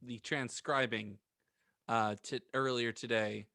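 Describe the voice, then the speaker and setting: A man co-hosting a podcast in casual banter, with a hesitant, halting delivery. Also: mid-range voice, fairly even intonation, bright, forceful tone, no echo, clean studio sound. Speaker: podcast co-host.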